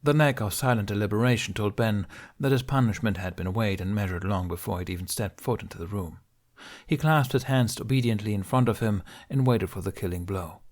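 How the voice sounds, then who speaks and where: A male audiobook narrator reads dramatic fiction. His voice sits a little low, and his delivery is measured and solemn. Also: a little mellow.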